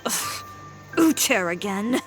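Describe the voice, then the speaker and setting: A woman playing a blunt, confident, no-nonsense young fashion designer in a video game, brisk and direct. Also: medium pitch, expressive, faint music behind. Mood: annoyed. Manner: brisk, direct, self-assured.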